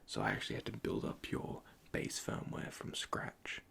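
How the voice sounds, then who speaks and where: A man playing a nervous, caring android repair technician in an ASMR roleplay, speaking in a soft, breathy half-whisper with hesitant stammers. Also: normal speed, a little hiss or hum, natural voice. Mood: gentle explaining.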